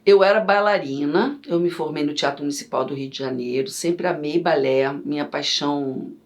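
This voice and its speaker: A middle-aged woman from Yugoslavia, chatting as an interview guest and telling stories in an animated way. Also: low-pitched, normal speed. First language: Serbian. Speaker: guest, vocal coach. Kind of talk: casual interview chat.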